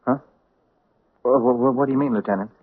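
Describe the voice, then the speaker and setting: An actor playing a nervous, stammering suspect with something to hide in an old radio drama. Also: male voice, mid-range voice, fairly quick, husky, natural voice. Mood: flustered. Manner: stammering, jittery. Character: nervous guilty middle-aged man, stammering. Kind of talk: 1970s radio drama acting. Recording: tinny band-limited old radio broadcast.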